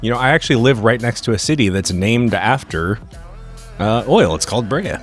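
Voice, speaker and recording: A man's voice: mid-range voice, expressive, a little fast. A man chatting casually as a player on a tabletop roleplaying podcast. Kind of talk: casual chat. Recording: music behind the voice. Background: music.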